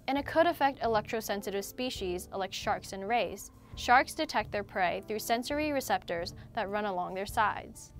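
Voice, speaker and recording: A woman reading a scripted explainer as narrator, in a measured way. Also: medium pitch. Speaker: narrator and presenter. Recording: music bed under voice. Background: music.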